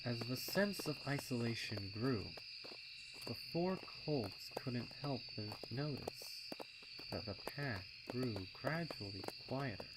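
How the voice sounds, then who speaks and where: A man reads scripted horror fiction in a lowish voice. His delivery is very slow and deliberate, and night insects chirp clearly behind him. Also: very noisy. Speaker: fan fiction narrator. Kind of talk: scripted dark storybook narration. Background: crickets and night insects.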